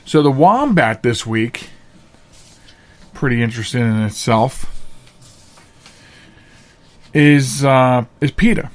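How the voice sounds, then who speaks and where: A man's voice: mid-range voice, expressive, slow pace, long pauses. A man hosting a podcast with casual, chatty monologues to listeners, talking in a folksy, rambling showman's way. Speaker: podcast host.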